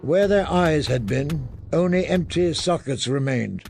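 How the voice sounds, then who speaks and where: Older male audiobook narrator, slightly high and gravelly, measured, solemn, dramatic literary delivery. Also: animated, a little mellow, soft background music.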